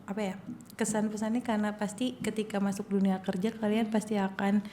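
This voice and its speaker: A woman's voice: medium pitch, little pitch movement, brisk, dark tone, slight background noise. A young woman, a civil engineer guesting on a podcast, chatty and encouraging with easy laughter. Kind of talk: casual advice chat.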